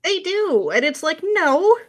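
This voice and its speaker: A woman's voice: very high-pitched, sing-song, unhurried. A woman guesting on a podcast, ranting casually with exasperated sarcasm and dramatic, mimicking emphasis.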